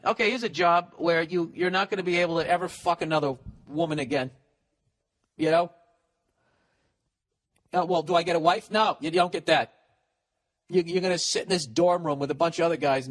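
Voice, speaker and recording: A man's voice: a little high, a little fast. A man in his forties in a solo comedic podcast rant, profane and sarcastic. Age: 40s. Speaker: podcast host.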